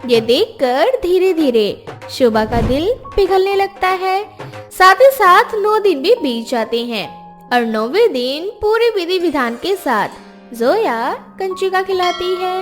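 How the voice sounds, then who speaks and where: Female narrator for scripted storytelling, high and animated, with faint music under the voice. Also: slow. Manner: steady storytelling. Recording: music bed behind voice.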